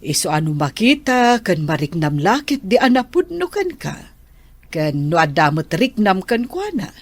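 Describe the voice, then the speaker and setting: A woman playing a caring older aunt who gently advises her niece in a radio drama. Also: on the low side, husky, heavily acted. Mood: advising. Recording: soft music underneath.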